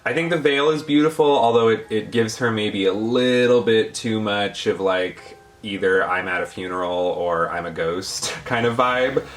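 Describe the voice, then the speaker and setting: A man co-hosting a review channel, chatting casually in a measured, appreciative, conversational way about a product. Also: lowish voice, faint music behind. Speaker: doll review channel co-host.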